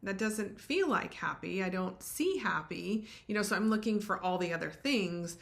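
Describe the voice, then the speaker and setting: A middle-aged woman co-hosting a podcast, talking in a reflective, conversational way and often affirming with soft murmurs. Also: medium pitch, animated, normal speed, vocal fry. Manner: reflective, many affirming mm-hmms. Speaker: podcast co-host.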